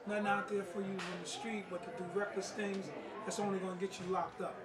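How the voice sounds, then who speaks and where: A man's voice: high voice, level tone, a little fast, over loud music. A middle-aged man speaking informally and emphatically, in a plain-spoken, street-wise way. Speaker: playwright and martial arts master. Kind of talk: informal spoken interview and presentation. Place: indoor gathering at a play reading. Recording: roomy, distant mic, people in background.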